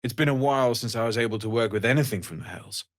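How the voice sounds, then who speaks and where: An actor playing a kindly, earnest young blacksmith in a fantasy video game, with a husky, breathy voice and an understated manner. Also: male voice, medium pitch, quick pace, lightly acted. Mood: friendly. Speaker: blacksmith and trader. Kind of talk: video game character dialogue.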